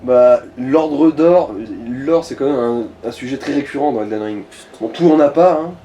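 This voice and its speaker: A man's voice: medium pitch, moderate pace, dark tone. A man commentating a video game in casual chat, blunt and slangy with some swearing. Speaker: let's play co-commentator. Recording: distant mic with faint noise.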